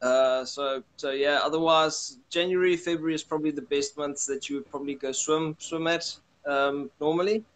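A young man with a South African accent talks over a webcam call. His voice sits slightly higher, and he rambles casually with frequent restarts. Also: normal speed, few pauses, low-quality recording. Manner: relaxed, rambling with many restarts. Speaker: guest. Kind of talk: casual video call chat. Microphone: webcam on video call.

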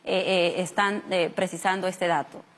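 A woman's voice: fairly low pitch, steady tone, unhurried. Earnest woman anchor asking questions. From Peru. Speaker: news anchor. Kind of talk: live news interview. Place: TV studio.

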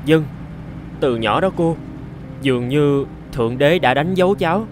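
A man voicing a poor, earnest teenage boy with big dreams in an animated moral tale, speaking with dramatic emotion. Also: on the high side, measured pace, music in the background. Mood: wistful, eager.

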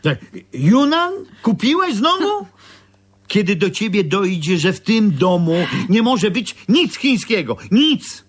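An actor playing a proud, stubborn older tailor in a radio drama, halting, grumbling and emphatic. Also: male voice, medium pitch, very animated. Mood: indignant. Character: proud, stubborn aging master bra tailor, grumpy brother.

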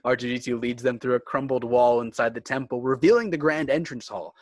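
A man's voice: medium pitch, fairly quick, no room sound, clean studio sound. A man working as narrator and host of a fan script reading. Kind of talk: reading screenplay directions, host outro. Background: music.

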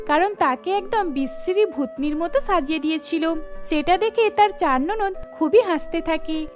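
A woman storyteller reading scripted narration for a cartoon, with music underneath. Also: very high voice.

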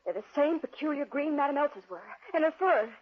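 Dull old radio drama with an anxious, devoted young wife. Her high voice is earnest, fretful and melodramatic. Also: lively intonation, fast talker. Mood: fearful. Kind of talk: 1940s radio acting. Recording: dull 1940s radio recording.

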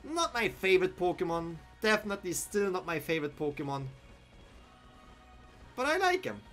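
Young German-accented male streamer, headset, slightly high voice, chatty live commentary over faint game music. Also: sing-song, normal speed, fairly bright tone. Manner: chatty, thinking aloud, answers chat. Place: small room indoors.

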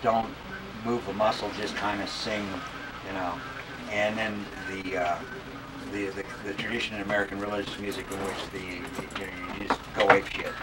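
A middle-aged man explaining something informally in a halting, rambling way, picked up by a camera a little way off. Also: on the low side, little pitch movement, very noisy. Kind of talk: casual chat, explaining. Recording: distant camera mic.

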